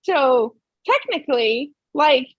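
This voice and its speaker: A woman with a high, bright-pitched voice chats with a lot of excitement, often laughing. She trips over her words in quick restarts and talks over the other people. The recording is dry, with no room sound. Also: no room sound, muffled recording. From United States, Puerto Rican American. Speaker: podcast co-host. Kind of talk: casual chat.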